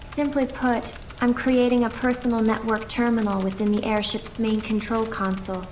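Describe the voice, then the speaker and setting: A woman voicing an earnest, reserved young female scientist in a video game, speaking in a measured, precise way. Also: on the high side, flat delivery, slight background noise, close to an everyday voice. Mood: explaining, serious.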